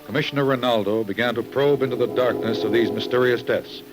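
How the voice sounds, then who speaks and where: A man's voice: medium pitch, fairly even intonation, fairly quick, low-quality recording. A man narrating a vintage radio mystery as host, formal and dramatic. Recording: vintage radio broadcast with loud music. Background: loud music.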